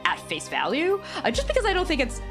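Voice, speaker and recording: A woman talking casually and enthusiastically as a podcast guest and game master, informal and animated. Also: high voice, quick pace, music in the background.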